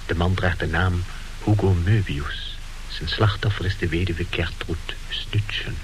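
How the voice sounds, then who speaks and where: A radio play narrator. His low voice is formal and wryly ominous, addressing listeners directly in scripted style. Clear hiss runs through the old recording. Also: fairly even intonation, a little slow.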